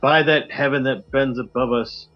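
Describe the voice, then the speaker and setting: A man guesting on a podcast, reading a poem in a measured, low dramatic delivery over a video call. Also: steady tone, dull audio, faint music behind.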